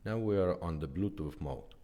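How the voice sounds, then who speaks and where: A man who is not a native English speaker gives a casual hands-on product demonstration as a restorer, explaining plainly and haltingly step by step. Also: sits low, warm tone. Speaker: car radio restorer presenting a product demo.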